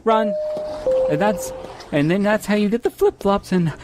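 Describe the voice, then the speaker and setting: A woman's voice: medium pitch, moderate pace, lightly acted. A woman playing a dim, childlike robber in an improvised roleplay game, speaking in short, simple phrases. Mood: dopey, dreamy. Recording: music behind the voice.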